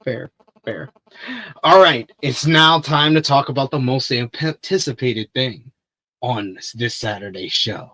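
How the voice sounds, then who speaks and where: A man hosting a wrestling preview talk show, commenting with animated, theatrical emphasis and drawn-out words. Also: medium pitch, low-quality recording.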